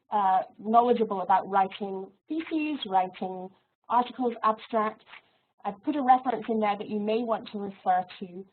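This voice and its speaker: A woman lecturer giving an online teaching webinar in a friendly, measured way, with soft laughs and fillers. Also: medium pitch, husky. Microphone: video call microphone. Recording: tinny phone-like audio.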